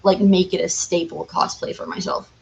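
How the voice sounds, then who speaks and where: A woman's voice: lowish voice, fairly even intonation, normal speed, vocal fry, darkish tone, dull audio, a little hiss or hum. A woman speaking as a podcast guest in an informal, enthusiastic, chatty way. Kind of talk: casual chat.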